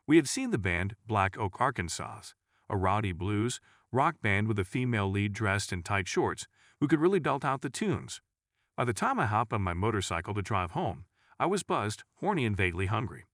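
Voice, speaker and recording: A man's voice: on the low side, a bit bright, no echo, clean studio sound. A man reading a scripted first-person scary story as a narrator.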